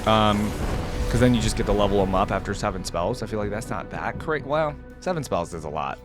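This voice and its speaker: A man's voice: fairly low pitch, lively intonation, brisk. A man hosting a reaction video with casual, off-the-cuff commentary, informal and exclamatory as he thinks aloud. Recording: music and game audio behind voice.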